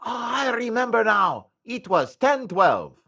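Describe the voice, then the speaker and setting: A man's voice: very high voice, sing-song, unhurried, vocal fry, bright, forceful tone, husky, lo-fi sound, exaggerated voice. An actor playing a cheeky, sarcastic male hairstylist questioned by detectives in a comedic radio drama. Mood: sassy. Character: sassy male hairstylist suspect.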